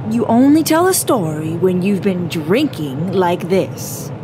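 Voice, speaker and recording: A woman's voice: fairly low pitch, expressive, unhurried, music in the background. A woman acting an exasperated, fearless, teasing partner in an audio drama, with a no-nonsense, mocking way of talking. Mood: teasing, dismissive.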